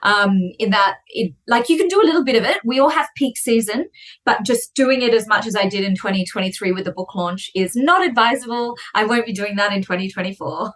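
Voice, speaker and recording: A woman in her thirties, a podcast guest chatting in an animated, fast storytelling way. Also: medium pitch. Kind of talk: casual conversation.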